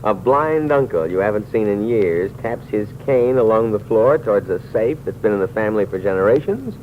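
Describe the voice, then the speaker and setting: A man's voice: lowish voice, expressive, fairly quick, lightly acted. An actor playing a mocking, wealthy man with a weak heart who needles his companion with dry wit. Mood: taunting. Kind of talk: 1950s radio drama acting. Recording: dull, noisy old radio recording.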